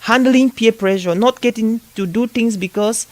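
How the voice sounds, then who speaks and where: A man's voice: sits high, normal speed, a little mellow, dry recording. A man with an African accent hosting a conversational interview, speaking earnestly and repeating phrases for emphasis. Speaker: podcast host.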